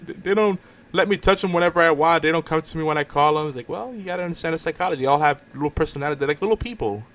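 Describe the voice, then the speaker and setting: A man's voice: on the high side, racing, fairly bright tone. Rambling male podcast host. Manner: rambling, repeats words and restarts sentences often. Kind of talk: casual banter on a live online show.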